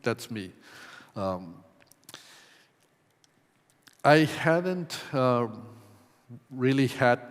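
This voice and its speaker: A man from Ecuador giving a conference talk in a measured way, with many ums and uhs. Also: mid-range voice. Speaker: conference presenter. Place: conference hall. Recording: long hall reverb.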